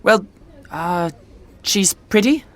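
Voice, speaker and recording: Smitten young royal, lively melodic delivery, halting, defensive, earnest, romantic comedy audio drama. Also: male voice, sits high. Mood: hesitant, thoughtful. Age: young adult. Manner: halting, earnest, easily flustered. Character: lovestruck, flustered, defensive young royal fiancé.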